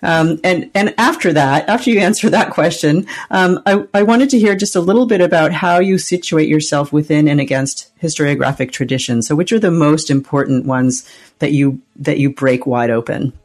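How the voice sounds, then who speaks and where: A woman hosting an interview program, reading a book introduction and then asking measured questions. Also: low-pitched. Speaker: interview host.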